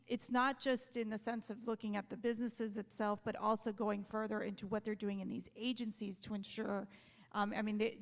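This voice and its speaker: Gravelly-voiced woman making policy points. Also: a little high. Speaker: panelist, policy advocate. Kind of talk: panel discussion.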